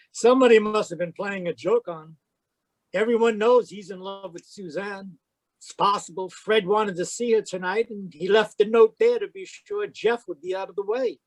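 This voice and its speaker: High-voiced, evasive radio-drama villain played by a man. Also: steady tone, moderate pace, no room sound, low-quality recording. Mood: smoothly placating. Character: glib, evasive man on a Yukon trail. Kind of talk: old-time radio drama acting. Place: home, live online video performance.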